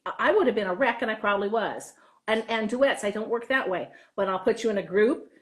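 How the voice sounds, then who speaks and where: A woman's voice: medium pitch, fairly quick. A woman talking casually as an interview guest, chatty and given to acting out bits of dialogue. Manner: chatty, acts out quoted dialogue. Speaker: interview guest, author and drama teacher. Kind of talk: animated casual interview talk.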